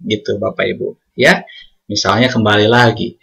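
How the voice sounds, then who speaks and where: A man's voice: fairly low pitch, level tone, creaky, a bit bright. A man with an Indonesian accent preaching a Buddhist sermon, often addressing the congregation as he speaks. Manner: addresses congregation often, sings hymn lines slowly.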